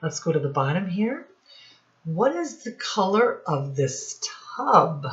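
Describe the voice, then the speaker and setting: A woman teacher speaking to young children in a singsong instructional style, with simple phrases and deliberate repetition. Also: on the low side, big pitch swings, roomy sound. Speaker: children's teacher and read-aloud host. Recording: dull, lo-fi sound.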